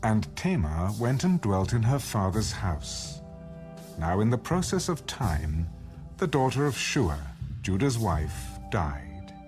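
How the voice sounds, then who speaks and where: Lively, low-voiced male scripture narrator. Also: slow, music in the background. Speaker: audio Bible narrator.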